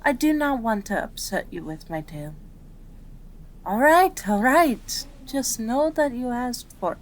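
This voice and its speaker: A woman voicing a gentle, patient female kitsune doctor in an ASMR roleplay, with a soothing, polite, measured manner. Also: a little high, very animated. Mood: reluctant, somber.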